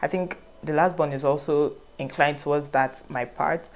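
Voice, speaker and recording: A young Nigerian woman talks casually to her vlog audience, with a low, deep voice for a woman. She reads each question aloud and then answers in a relaxed, wandering way. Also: moderate pace. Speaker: vlogger.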